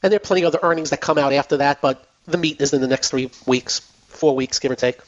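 A man hosts a finance call-in radio show, his voice going hoarse as he talks. He chats in a loose, repetitive way with plenty of fillers, and sits fairly high in pitch. The broadcast sounds dull and lo-fi. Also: quick pace. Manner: chatty, repeats himself, many fillers. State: losing his voice. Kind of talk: casual radio market talk.

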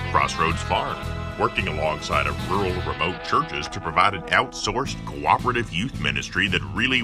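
A male narrator giving a scripted sponsor read. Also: sits low. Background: guitar music.

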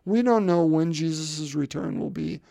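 A man in his fifties gives a sermon, with heavy gravel in his fairly high voice. He speaks conversationally, often repeats words and keeps asking the congregation rhetorical questions. The recording is close and dry. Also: lively intonation, moderate pace, dark tone, dry recording. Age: 50s. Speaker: pastor.

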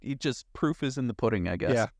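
A man's voice: medium pitch, expressive, fairly quick, no room sound, clean studio sound. A man co-hosting a casual chat, giving his opinions in an informal, agreeable, conversational way. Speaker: podcast co-host.

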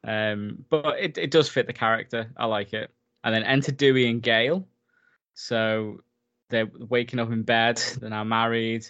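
A man's voice: mid-range voice, steady tone, unhurried, a bit bright, highs cut off. A man in a casual film recap chat, enthusiastic and talkative, laughing often as he goes. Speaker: podcast co-host.

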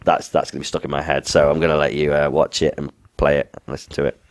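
A young adult man co-hosting a casual podcast, his voice croaky from being unwell. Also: a bass voice, flat delivery, fast talker, no room sound. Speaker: podcast co-host. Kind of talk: casual chat.

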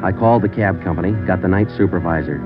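An actor playing a terse, no-nonsense police detective sergeant, deadpan and matter-of-fact, in an old radio crime drama. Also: male voice, low voice, quick pace, lightly acted. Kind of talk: 1950s radio crime drama acting and narration. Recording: dull old radio recording, music behind.

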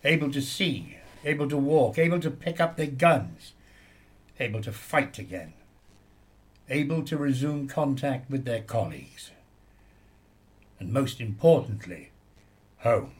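A man's voice: mid-range voice, very animated, a little slow, long pauses. A man playing a sombre soldier who recalls suffering comrades, narrating dramatically in a radio play.

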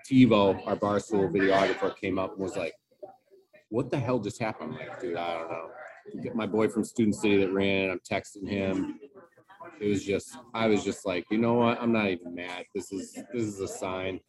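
A man's voice: low voice, moderate pace, a crowd in the background, low-quality recording. A man telling a story casually, with slangy, profane talk and quoted back-and-forth. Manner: profane, slangy, quoting dialogue.

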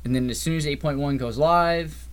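A man's voice: a little high, moderate pace, clear, resonant tone. A man co-hosting a podcast, explaining game patch changes in a loose, rambling way and often trailing off. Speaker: podcast co-host. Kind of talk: casual gaming chat.

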